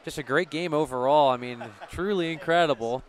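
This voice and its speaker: A man's voice: a little high, a little fast. An American man giving casual live commentary and stats as a sports broadcast co-host. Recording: noisy gym with crowd behind. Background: crowd noise.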